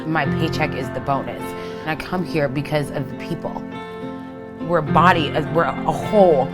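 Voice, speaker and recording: Woman, employee testimonial, lowish voice, heartfelt and emphatic, clear music bed underneath. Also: steady tone, normal speed. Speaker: construction company employee. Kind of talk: personal testimonial.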